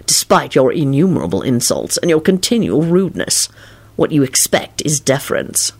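A sardonic, easily irritated man in an audiobook story, voiced by the narrator. He talks bluntly and dryly, at a middling or slightly lowered pitch. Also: big pitch swings, normal speed, a little mellow, breathy edge. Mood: cold, incredulous. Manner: dry, blunt, sarcastic.

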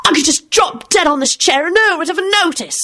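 A woman voicing an attention-seeking, bad-tempered boy in a story reading, blunt and yelling. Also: high voice, lively intonation, fast, pitched above the performer's own voice. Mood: aggrieved.

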